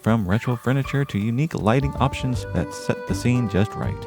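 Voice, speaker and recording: A man playing a genteel, earnest podcast host, speaking scripted lines in a formal, florid, measured way. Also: mid-range voice, music in the background, natural voice. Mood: enthusiastic.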